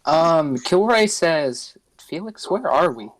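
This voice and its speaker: A man's voice: a little high, expressive, slow, slight background noise, poor audio. A man playing a tabletop game, asking and describing things casually and tentatively in roleplay.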